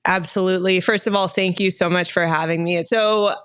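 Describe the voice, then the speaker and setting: A woman in her thirties from Texas telling her story casually as an interview guest, chatty and quick to laugh. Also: lowish voice, steady tone, fairly bright tone, smooth. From Austin, Texas, United States. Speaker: podcast guest, nurse injector.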